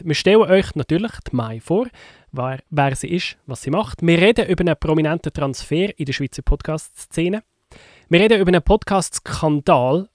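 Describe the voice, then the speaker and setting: A man's voice: fairly high pitch, a little mellow, dry recording, studio quality. A man with a Swiss German accent hosting a podcast, introducing topics and asking his guest questions. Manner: friendly interviewer asking questions. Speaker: podcast host.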